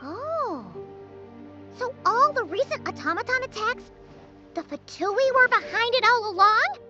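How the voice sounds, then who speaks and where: A woman voicing a tiny, curious, excitable fairy girl with a squeaky childlike voice in an anime game. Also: a little slow. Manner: exclaiming, inquisitive. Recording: dull game capture with music.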